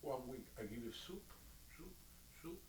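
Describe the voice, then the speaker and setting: A man's voice: medium pitch, expressive, moderate pace, darkish tone, echoey room, a little hiss or hum, low-quality recording. Insistent man, broken English. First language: Spanish. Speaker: friend in a casual chat. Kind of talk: casual chat.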